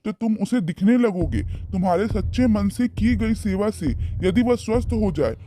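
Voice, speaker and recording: A man's voice: high voice, lively intonation, normal speed, warm tone, slight background noise. Man voicing solemn, stern holy hermit. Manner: solemn, admonishing. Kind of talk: animated children's moral story dialogue. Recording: music behind the voice.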